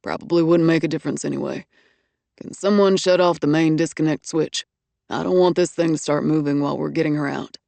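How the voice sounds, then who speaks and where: A woman doing a calm, low, tender rescuer man. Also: a little fast, vocal fry, no echo. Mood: businesslike. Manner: soothing, affectionate, wry.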